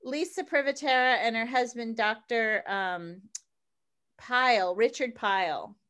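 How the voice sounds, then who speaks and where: A woman's voice: on the high side, unhurried, fairly bright tone, clear, resonant tone. A woman hosting a casual interview, chatty and gushing, laughing often. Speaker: podcast host.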